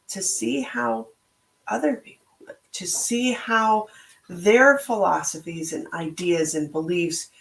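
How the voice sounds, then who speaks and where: A middle-aged woman speaks slowly and deliberately, her voice slightly hoarse with a gravelly edge. She talks in a thoughtful, motivational manner, as if reflecting aloud. The room around her is echoey. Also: on the low side. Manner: slow, thoughtful, motivational delivery. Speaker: podcast host and book club organizer. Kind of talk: reflective solo talk.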